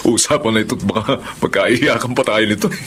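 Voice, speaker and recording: A man's voice: fairly low pitch, brisk, a bit bright, slight background noise, close to an everyday voice. An actor playing a doting, folksy stepfather of sixty in a Filipino family drama, chatty and fatherly, with a Filipino accent. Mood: touched, gentle. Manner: fatherly, chatty, full of interjections. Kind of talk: Filipino radio-style family drama acting.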